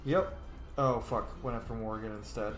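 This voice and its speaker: A man giving casual let's-play commentary, excitable, swearing freely and yelling during fights. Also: medium pitch, moderate pace. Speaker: gamer playing a roleplay series. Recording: echoey room with game music behind. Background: game music and combat sounds.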